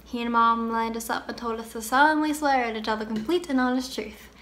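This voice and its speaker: Grieving, candid young girl, acted by a woman, higher-pitched, wistful conversational stage monologue, slightly noisy amateur recording. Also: steady tone, a bit bright, close to an everyday voice. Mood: fond, playful. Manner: conversational, wistful storytelling with pauses. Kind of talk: dramatic monologue.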